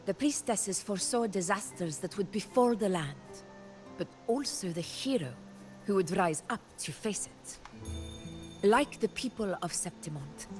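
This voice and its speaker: A woman playing a proud, ambitious warrior in a video game, wry and brooding, speaking in measured, dramatic phrases with music underneath. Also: medium pitch, natural voice. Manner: measured, dramatic, with weighted pauses. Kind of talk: scripted video game story acting.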